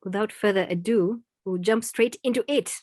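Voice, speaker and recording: A woman's voice: mid-range voice, moderate pace, darkish tone, no echo, clean studio sound. A woman hosting a casual conversation, asking questions and rephrasing them as she goes. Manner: interviewing, restarts and rephrases questions. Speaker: host. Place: in England.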